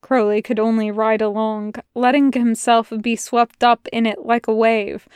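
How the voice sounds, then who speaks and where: A woman narrating an audiobook of fan fiction in a measured, unhurried way. Her voice sits somewhat high and is smooth and clear, with a dry, close sound. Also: little pitch movement, darkish tone, no echo. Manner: slow, measured reading. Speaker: audiobook reader.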